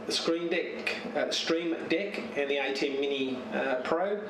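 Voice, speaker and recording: Man, roomy and distant, halting delivery. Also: fairly high pitch, creaky. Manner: halting, searching for words as he handles gear. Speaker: amateur radio and TV hobbyist. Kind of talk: casual unscripted show-and-tell of a home radio setup. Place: home radio shack. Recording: roomy, distant mic with steady noise.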